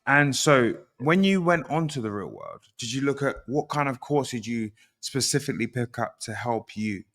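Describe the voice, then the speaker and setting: A man with a British accent hosts a casual interview. His voice is average in pitch, and he asks in a chatty way, often repeating and rewording what he wants to know. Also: big pitch swings, normal speed, a bit bright, big-room sound. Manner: repeats and rephrases questions.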